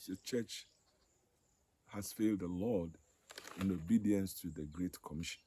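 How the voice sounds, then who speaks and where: An older man from Ghana, a ministry director, telling his story in a measured interview with many pauses. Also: deep voice, mellow, slight background noise. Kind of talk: interview testimony.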